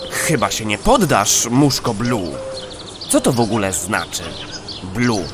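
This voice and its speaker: An actor voicing a little rat, an urging friend, in a children's audio play. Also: male voice, lowish voice, sing-song, measured pace. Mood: questioning. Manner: urging, pushy. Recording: clear noise with birdsong.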